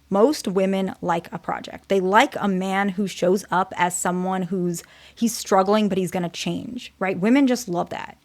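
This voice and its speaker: Soft-spoken woman giving candid advice. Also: mid-range voice. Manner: candid, hedging, talks in short restarting phrases. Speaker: therapist and channel host. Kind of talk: casual advice talk to camera.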